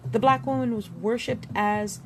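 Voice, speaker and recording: A woman reading a history book aloud expressively, with theatrical emphasis and pauses. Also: fairly high pitch, fairly even intonation. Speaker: book reader. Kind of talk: expressive read-aloud of an illustrated history book, with spoken asides. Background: music.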